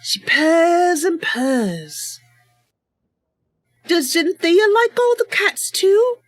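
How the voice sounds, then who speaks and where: A woman's voice: extremely high pitch, very animated, drawn-out words, no echo, voice pushed up, heavily acted. A woman voicing an earnest, kindly female wood elf adventurer in a fantasy game roleplay, speaking emphatically. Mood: friendly.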